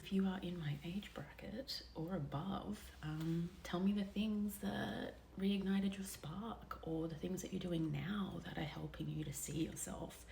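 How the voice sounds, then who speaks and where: A woman in her thirties with a low, level voice and a gravelly edge speaks openly to her viewers. Her delivery is candid and confessional, and she often sinks into a soft near whisper. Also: slight background noise. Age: 30s. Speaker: vlogger. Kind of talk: personal reflective vlog.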